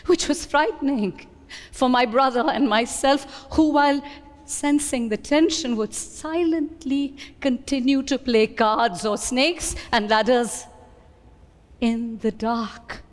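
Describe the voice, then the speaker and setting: A woman with an Indian accent giving a deliberate, expressive dramatic reading of a memoir in a hall. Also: sits high. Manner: deliberate, expressive storytelling delivery. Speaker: reader at a book event. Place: live reading in an event hall. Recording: reverberant hall.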